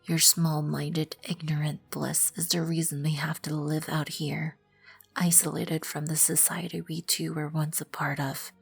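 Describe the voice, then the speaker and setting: A woman playing a proud, composed vampire queen in an ASMR roleplay, with an imperious, formal manner and a breathy, husky voice. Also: deep voice, little pitch movement, unhurried, heavily acted. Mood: stern, indignant. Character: regal, composed, proud vampire queen, protective of her colony. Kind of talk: ASMR roleplay, scripted second-person acting. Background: background music.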